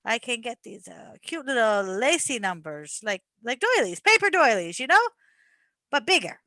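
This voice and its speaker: A woman playing an eager, chatty pizza parlor worker in a comic radio play, fussy, halting and keen to please. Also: a little high, big pitch swings, sharp tone, no room sound, heavily acted. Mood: nervous, fussy.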